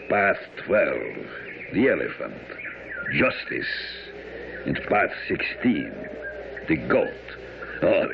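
An actor playing a guarded, mysterious Romany camp leader in a radio drama, curt, cryptic and solemn. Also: male voice, extremely low pitch, creaky, heavily acted. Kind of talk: 1970s radio drama acting. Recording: muffled, noisy old radio recording.

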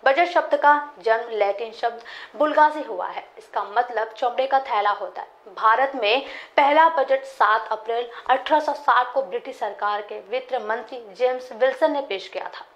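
A woman in her twenties reading a scripted news explainer as a presenter, in a formal, measured manner. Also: a little high, a bit bright, lo-fi sound.

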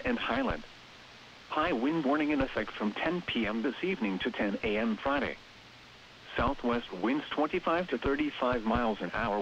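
Over a dull, band-limited radio signal with steady hiss, a man with a mid-pitched, machine-like voice reads weather bulletins. His phrasing is even and impersonal, in the manner of an automated announcer. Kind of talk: scripted weather bulletin and warning readout. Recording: hissy, dull radio broadcast with noise.

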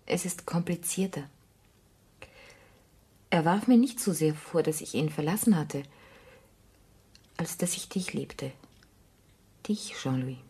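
An actress playing a grave, disillusioned woman in a radio drama, with a husky, breathy voice and measured, sombre delivery. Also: sits low, mellow, exaggerated voice. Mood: hushed sorrow. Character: disillusioned, grave woman ending a love affair. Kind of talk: German radio play acting, intimate dialogue.

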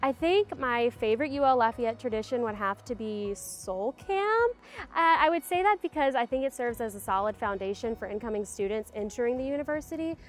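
A young woman with a slightly high voice, chatting in a bubbly, self-mocking way for a campus interview. She is recorded outdoors in a garden on a lapel mic. Soft music plays faintly underneath. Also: lively intonation, brisk. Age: young adult. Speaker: homecoming court nominee. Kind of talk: casual interview.

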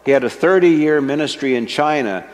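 Older male preacher, earnest and deliberate, reverberant sanctuary sound. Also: on the high side, expressive, a little mellow. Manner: slow, measured and earnest, stressing key words. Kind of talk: sermon. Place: church sanctuary with a stage, indoors. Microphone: microphone at a wooden pulpit, fixed camera. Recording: long church reverb.

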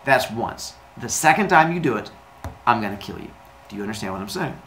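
Man acting out loud, pompous, bossy sky god. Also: mid-range voice, animated, a little fast. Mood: angry, threatening. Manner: loud, blustering, dismissive. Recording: echoey room with background hiss.

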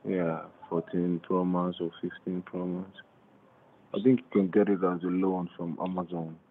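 A man's voice: low voice, flat delivery, normal speed, narrow, tinny audio, slight background noise. A man calling as a scammer, insistently pressing for phones and purchases. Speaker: scam caller.

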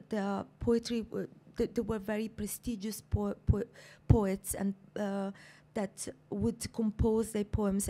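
A middle-aged woman from Somalia, speaking on a writers' panel with a handheld mic, thoughtful and halting with many fillers. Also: mid-range voice, dark tone. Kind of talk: reflective panel talk. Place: seated on a couch in a lecture room.

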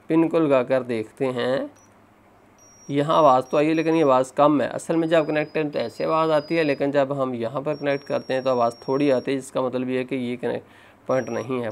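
A man narrating a hands-on repair tutorial step by step, often addressing viewers as friends. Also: on the high side. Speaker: phone repair instructor.

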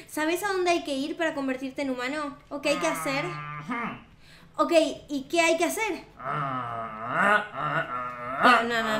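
A young woman with a Rioplatense Spanish accent, hosting a gaming video and improvising roleplay in an expressive, sweet, childlike way. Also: high voice, a little hiss or hum. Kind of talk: improvised in-character gameplay roleplay. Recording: roomy echo, music behind. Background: background music.

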